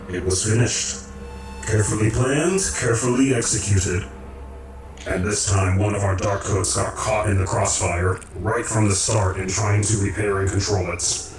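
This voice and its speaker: A man in a radio drama playing a calm, commanding creator of realities who speaks in measured, formal, cryptic phrases. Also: lowish voice, lightly acted. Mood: cryptic, grave. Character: calm, commanding cosmic creator, male, cryptic philosophical overseer. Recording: roomy echo, distant, music underneath.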